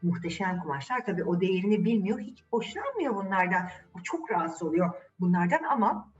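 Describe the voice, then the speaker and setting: Very animated woman telling children's tales. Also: mid-range voice, fairly quick, far from the mic, highs cut off, faint music behind. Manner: addresses children directly with rhetorical questions. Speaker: children's storyteller. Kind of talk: animated, conversational fairy-tale storytelling.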